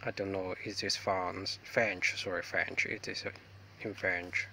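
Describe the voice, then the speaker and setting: Hong kong accent guy unboxing stuff, slow and unsure, bright voice, phone mic a bit far off with faint hiss. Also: low-pitched, flat delivery. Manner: hesitant, apologetic, many ums. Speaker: vlogger. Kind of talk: casual product unboxing. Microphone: mobile phone.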